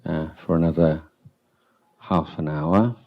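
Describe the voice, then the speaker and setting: A man teaching meditation as a monk, giving calm, unhurried guided instructions with long halting pauses. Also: a bass voice, dull audio.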